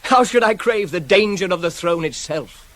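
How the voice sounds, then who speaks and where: An actor playing a proud, self-controlled young prince wronged by his father, in a formal, measured, declamatory classical tragedy style for radio. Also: sits high, expressive, fairly bright tone. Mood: indignant, proud.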